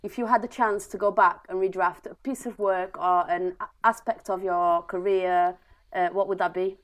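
A woman hosting a podcast interview in a casual, informal and somewhat halting way. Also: mid-range voice, fairly even intonation.

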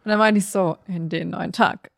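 A woman's voice: on the low side, steady tone, normal speed, creaky, fairly bright tone. A woman chatting casually as a podcast co-host, telling anecdotes in a colloquial, rambling way with quoted bits of dialogue. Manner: colloquial, self-quoting storytelling.

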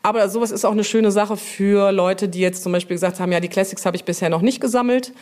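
A woman's voice: mid-range voice, steady tone, fast talker, a little mellow. A woman, chatting informally as an interviewee and explaining her work, often checking for agreement with little tag questions. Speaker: brand manager being interviewed on a podcast. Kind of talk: casual interview talk, explanatory.

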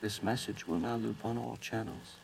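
Male actor as a low gravelly army announcer, stern, noisy broadcast. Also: steady tone, moderate pace. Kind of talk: audio drama radio announcement.

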